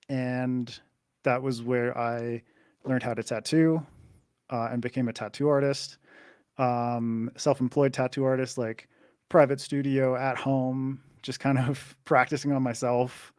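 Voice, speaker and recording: A man's voice: mid-range voice, unhurried, clean tone, no room sound, clean studio sound. A Canadian man, a podcast guest, chatting casually and rambling a little over a video call. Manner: relaxed, rambling, swears casually.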